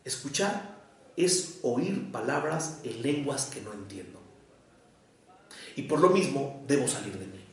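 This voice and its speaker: A man with a Mexican accent giving a prepared lecture in a deliberate, didactic way, with emphatic pauses. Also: medium pitch, animated. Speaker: lecturer on psychoanalysis. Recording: echoey room, distant microphone.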